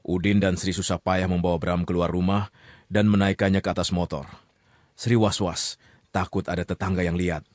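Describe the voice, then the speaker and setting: Man, storyteller host, low pitch, dry studio sound, chatty asides. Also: moderate pace, dry recording. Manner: lively, chatty asides to a sidekick. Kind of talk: radio story narration.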